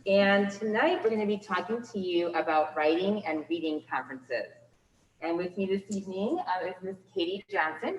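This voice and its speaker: A woman with a loud teacher voice and a somewhat low pitch presents to a school board in an upbeat, explaining manner. She is picked up from a distance in a large meeting room, and her voice rings with a long hall echo. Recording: echoey hall from far off.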